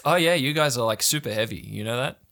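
A man's voice: medium pitch, expressive, fast, gravelly, a bit bright, pitched above the performer's own voice. A man voicing a laid-back fifteen-year-old boy who runs a camp cult, with slangy, self-important dude talk, in a tabletop game. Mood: breezy, self-important.

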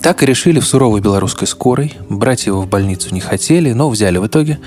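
Podcast host, male, lowish voice. Wry, colloquial storytelling aimed at the listener, music underneath. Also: lively intonation, fast talker, darkish tone. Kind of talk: conversational scripted narration. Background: background music.

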